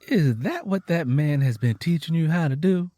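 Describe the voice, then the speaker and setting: Man playing a blunt Southern country wife, lively pitch. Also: normal speed, no echo. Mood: teasing, suspicious. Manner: blunt, probing, folksy dialect. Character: worn, wary, teasing 26-year-old Southern country wife.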